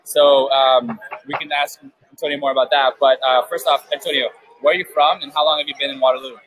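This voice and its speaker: A man's voice: mid-range voice, steady tone, fast, fairly bright tone, husky, rough recording. Casual host, male, loose and chatty, headphone mic at a crowded event, fillers everywhere. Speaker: live stream host interviewing guests. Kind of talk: casual live interview. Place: busy outdoor event beer tent.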